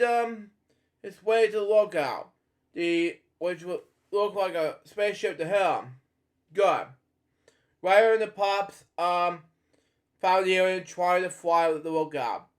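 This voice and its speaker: A man reading a children's storybook aloud, stumbling, restarting and adding rambling side comments. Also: high voice. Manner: halting, with frequent restarts and filler comments.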